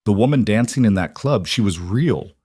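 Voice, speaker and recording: A man's voice: on the low side, lively intonation, moderate pace, mellow, husky, no room sound, studio quality. A man voicing an intense mafia boss in an audio romance, direct and earnest, a little socially awkward. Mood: intense, probing.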